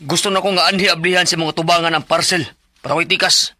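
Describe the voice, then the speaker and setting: A man's voice: on the high side, flat delivery, fast, sharp tone. An actor with a Cebuano Filipino accent playing a hot-tempered, suspicious and abusive male customer in a radio drama, confrontational and accusing. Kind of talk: Bisaya radio drama acting.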